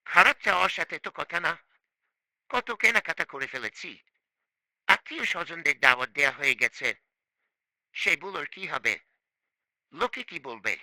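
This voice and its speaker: Man voicing proud middle-aged cartoon father, very sharp forceful voice, animated pitch, reproachful, emphatic. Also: unhurried, pauses often, dry recording, heavily acted. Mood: worried, regretful. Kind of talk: cartoon voice acting.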